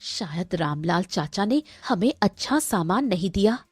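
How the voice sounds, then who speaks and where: A woman voicing a gentle, observant village wife in a cartoon. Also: mid-range voice, animated, a little slow, breathy edge, no room sound, soft background music. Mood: calm, reassuring.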